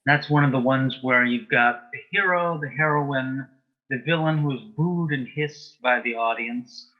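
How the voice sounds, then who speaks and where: A man's voice: mid-range voice, measured pace, muffled recording. A middle-aged man reminiscing as an interview guest in a precise, matter-of-fact, dryly humorous way. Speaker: guest, author and playwright. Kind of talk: casual reminiscing interview chat.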